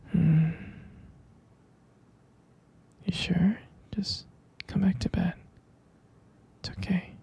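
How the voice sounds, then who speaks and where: Gravelly whispering sleepy boyfriend, acted by a man. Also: on the high side, full character voice. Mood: drowsy, concerned. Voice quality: breathy, husky whisper. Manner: soft, hesitant, murmured reassurance. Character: sleepy, tender young boyfriend soothing his sweetheart. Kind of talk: ASMR roleplay.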